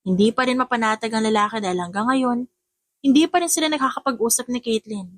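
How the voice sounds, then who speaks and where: A woman's voice: on the high side, fast talker, no room sound. A woman reading a romance audiobook as a dramatic scripted narrator.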